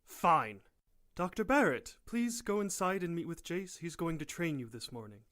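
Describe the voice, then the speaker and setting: A man plays an irritable, commanding professor in an audio drama. His voice is high for a man and forcefully sharp, with curt, impatient phrasing. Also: animated, moderate pace. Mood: irritated. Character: brusque, irritable, bossy male professor.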